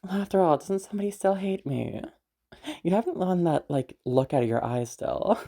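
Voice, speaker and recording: A woman playing a friendly young woman with a hidden obsessive side, in roleplay audio addressed to the listener, with a husky, hesitant delivery. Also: fairly low pitch, expressive, moderate pace, dark tone. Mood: playful, flustered.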